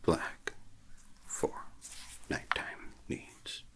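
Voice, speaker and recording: A man whispering as a shady male arms dealer behind a burger counter in an ASMR noir roleplay, with a deadpan salesman's patter. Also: very slow. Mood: smooth pitch. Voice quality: low creaky whisper. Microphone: close sensitive ASMR mic.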